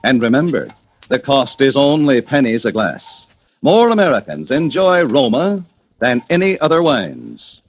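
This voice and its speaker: A man's voice: mid-range voice, big pitch swings, measured pace, highs cut off, soft background music. A man reading scripted advertising as a 1940s radio announcer. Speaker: commercial announcer.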